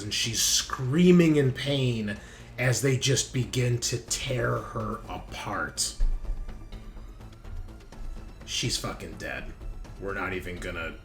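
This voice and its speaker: A man's voice: medium pitch, fairly bright tone. A man running a tabletop game as game master, casually narrating and thinking aloud with informal, sweary phrasing. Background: game background music.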